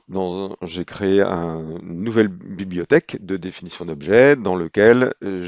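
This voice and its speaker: A man calmly walking through a software procedure in a tutorial, pausing often with hesitations as he explains each step. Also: sits low, creaky, no room sound, dull audio. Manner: unhurried, explanatory, frequent hesitations. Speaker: software trainer. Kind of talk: screen-recorded software tutorial.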